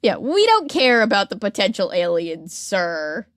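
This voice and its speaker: A woman's voice: mid-range voice, measured pace, dry recording. Casual American woman podcaster, dry close sound. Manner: animated retelling with mock quotes and filler "like". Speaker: podcast host. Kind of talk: casual explanatory storytelling chat.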